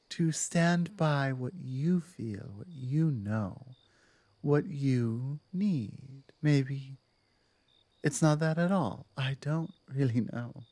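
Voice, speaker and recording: A woman voicing a dominant but softening werewolf pack leader in an intimate ASMR roleplay, speaking in measured, deliberate phrases with weighty pauses. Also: very deep voice, dry recording, heavily acted. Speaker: audio roleplay performer.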